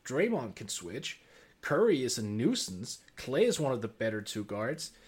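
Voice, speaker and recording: A man co-hosts a sports podcast, working through his analysis in a halting, restarting way with small laughs. His voice sits mid-range, unremarkable in pitch. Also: big pitch swings, husky. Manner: halting, restarts words, chuckles. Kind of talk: casual sports analysis chat.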